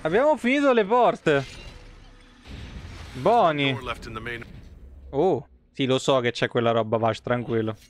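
A man's voice: mid-range voice, expressive, takes it slowly, long pauses, slight background noise. A man giving casual, exclamatory, sweary live commentary on a game. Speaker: live streamer. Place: indoor room, webcam stream. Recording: game sound behind the voice. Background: game effects and ambience.

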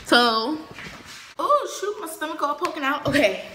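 Excitable young woman vlogging, echoey noisy room. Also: high-pitched, unhurried. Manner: excitable, informal, slangy. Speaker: host of a home challenge vlog. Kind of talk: casual vlog chat to camera. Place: small room at home, indoors. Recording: roomy echo, noisy home laptop recording.

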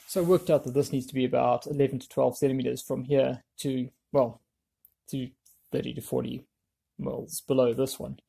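A man presenting a hands-on how-to in a casual, offhand way, thinking aloud as he works, with a husky, creaky voice. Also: mid-range voice, fairly even intonation, moderate pace, warm tone. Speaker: DIY video presenter.

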